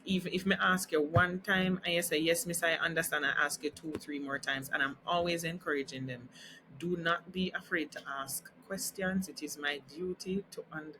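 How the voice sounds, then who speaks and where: A woman's voice: low voice, noisy background. A Jamaican woman whose first language is Jamaican Creole, a teacher speaking earnestly as a podcast guest and switching into Creole now and then. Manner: earnest, explains carefully, slips into Creole. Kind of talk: casual podcast interview.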